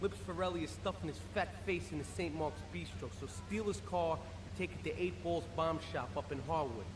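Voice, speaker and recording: Actor as cocky young mobster, heavy reverb. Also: male voice, a little high, normal speed, close to an everyday voice. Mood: cocky, businesslike. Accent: American. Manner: casual, cocky, slangy. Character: cocky young American mobster, a crime boss's son. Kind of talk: video game cutscene acting. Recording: long hall reverb, faint background noise.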